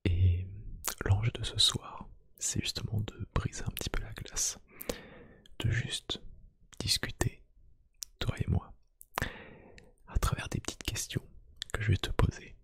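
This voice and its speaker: Whispering man, very breathy and slow. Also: fairly low pitch, level tone, dry recording. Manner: hushed, gentle, unhurried, speaking one-to-one to the listener. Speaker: ASMR YouTube creator. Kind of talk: soft-spoken ASMR personal-attention roleplay. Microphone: close microphone.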